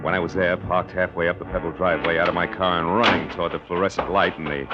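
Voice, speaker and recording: An American actor playing a tough, wisecracking private detective in clipped, sardonic 1940s radio style. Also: male voice, low voice, little pitch movement, fast talker, over loud music, natural voice. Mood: tense narration. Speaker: narrator and lead actor. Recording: muffled, noisy old radio recording.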